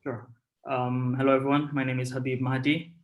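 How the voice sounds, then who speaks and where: A man's voice: medium pitch, level tone, brisk, narrow, tinny audio. A young man from Tanzania, a student guest introducing himself in a live online chat. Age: young adult.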